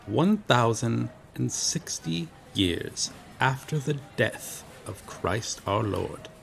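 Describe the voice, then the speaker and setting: A man's voice: mid-range voice, creaky, slight background noise. A man playing a noble, earnest medieval knight lost in modern times in an audio roleplay, speaking in formal, archaic, solemn phrases. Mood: solemn, assuring. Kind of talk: ASMR audio roleplay, dramatic monologue.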